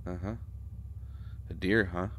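A man playing an immortal vampire doctor in an intimate audio roleplay, a fussy, protective friend who scolds with fond, halting exasperation. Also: sits low, steady tone, fairly bright tone, slight background noise. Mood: concerned, fussing.

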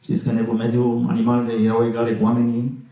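Mellow middle-aged man in a very echoey hall. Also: medium pitch, monotone. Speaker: film director at festival Q&A. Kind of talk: informal spoken presentation to an audience. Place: festival auditorium stage. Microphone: handheld mic, heard from far camera. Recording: echoey hall, distant camera pickup.

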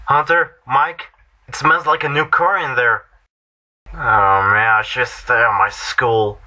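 A man's voice: mid-range voice, fairly even intonation, takes it slowly, pushed and bright. A man acting out voice clips as a brash, wisecracking masked superhero for an amateur fan cartoon. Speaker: amateur voice actor.

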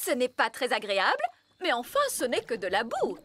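A woman's voice: on the high side, wildly swooping pitch, brisk, very noisy. An actress playing a prim fairy nanny in a French children's cartoon, stoic and understated as she keeps a brave face. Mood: resigned.

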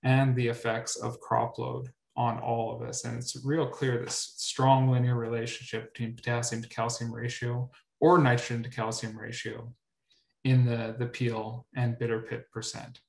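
Young male researcher, mid-pitch, webinar delivery, stumbles and repeats, roomy webcam sound. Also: little pitch movement, moderate pace, vocal fry. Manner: repeats words often, many ums. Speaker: researcher presenting at a grower meetup. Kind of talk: webinar presentation. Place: indoor room. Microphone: webcam on video call. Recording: roomy echo.

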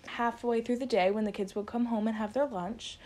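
A woman's voice: fairly high pitch, steady tone, fairly quick, a little mellow. A young woman student giving an informal spoken explanation of how people used to live. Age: young adult. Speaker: student storyteller. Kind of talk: informal explanatory oral history.

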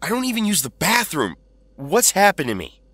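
A man's voice: high voice, wildly swooping pitch, normal speed, a bit bright, no room sound, heavily acted. A man playing an anguished, earnest teenage hero in an audio drama, pleading as he talks. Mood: troubled.

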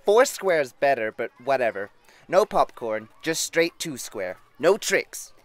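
Little boy of five, bratty, blunt, very animated pitch, audio drama. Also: unhurried, a little hiss or hum, heavily acted. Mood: blunt, casual. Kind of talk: audio play acting.

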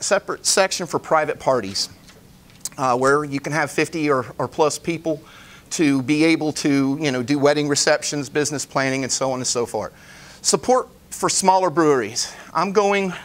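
A man in his forties presenting a business pitch live in a meeting room, plain-spoken, in short emphatic phrases. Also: fairly bright tone. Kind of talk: informal live presentation with slides. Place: indoor meeting room, standing at a lectern.

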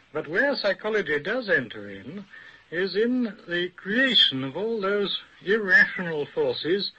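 Sharp, husky male professor on old radio. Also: a little high. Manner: deliberate, articulate, didactic. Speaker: academic expert guest, professor. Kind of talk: radio panel discussion, measured explanation. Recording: dull old radio recording.

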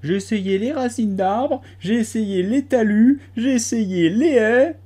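A man voicing a shrill, paranoid pigeon guarding its eggs, lamenting and scornful by turns. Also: slow, warm tone, husky, exaggerated voice. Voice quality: shrill, strained cries. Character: shrill, paranoid, wailing female-nest pigeon.

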